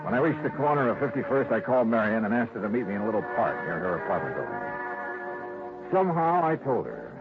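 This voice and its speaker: An actor playing a wry insurance investigator narrating a 1950s radio drama in a dry, understated way. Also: male voice, on the low side, very animated, fairly quick. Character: hard-boiled male insurance investigator, wry and steady. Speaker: detective narrator. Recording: tinny 1950s radio broadcast with music.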